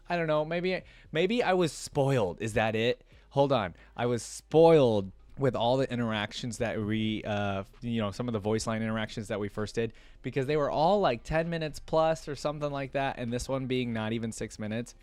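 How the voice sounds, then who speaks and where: Male reaction host, mid-pitched, conversational and rambling, emphatic delivery, very dry close sound. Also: dry recording. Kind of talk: casual unscripted commentary to viewers.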